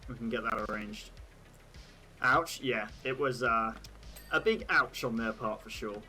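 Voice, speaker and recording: Young British male streamer, stray Australian vowels, bright tone, casual rambling chat. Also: medium pitch, unhurried, faint music behind. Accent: British, with occasional Australian words. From Britain. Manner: chatty, laughs at his own jokes, thinks aloud with many "like"s. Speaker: livestream host.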